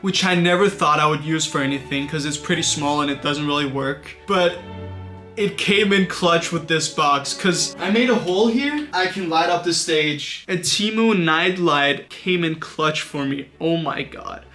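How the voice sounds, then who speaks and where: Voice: medium pitch, measured pace, sharp tone. Casual, candid streamer with background music. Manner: candid, self-deprecating, swearing. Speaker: streamer and puppet show maker. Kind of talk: casual vlog narration.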